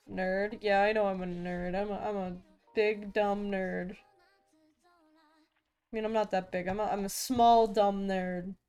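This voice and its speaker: A woman's voice: mid-range voice, a little mellow, smooth. A young woman streaming as she draws, chatting casually with viewers and often stuttering and restarting her sentences. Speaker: VTuber art streamer. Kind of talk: casual live-stream chat while drawing.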